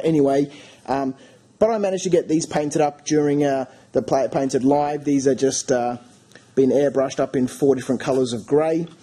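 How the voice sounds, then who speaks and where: A man with a cold and a croaky voice, casually talking through his hobby work with many fillers and restarts. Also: a little high, dark tone. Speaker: hobby channel host. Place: home hobby studio.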